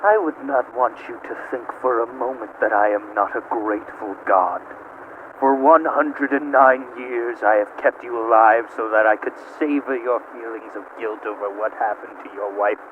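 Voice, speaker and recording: A man playing a sadistic, hate-consumed supercomputer that mocks like a cruel god, in a theatrical, menacing monologue with drawn-out words, as an amateur voice acting reading. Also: medium pitch, voice pushed down, close to an everyday voice. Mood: hushed menace. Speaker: amateur voice actor. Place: at home. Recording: dull lo-fi home recording, faint noise.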